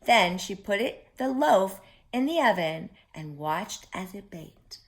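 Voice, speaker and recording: A woman reading a storybook aloud to young children, deliberate, pausing to put questions to her listeners. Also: mid-range voice, animated.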